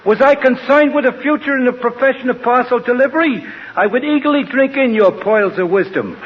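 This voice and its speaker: A man's voice: very high voice, expressive, brisk, husky, exaggerated voice. An actor playing a cocky young delivery man who mixes pompous, flowery speech with slang, in 1940s radio comedy style. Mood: haughty, defiant. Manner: pompous mock-formal speech mixed with slang. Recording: dull, noisy 1940s radio recording.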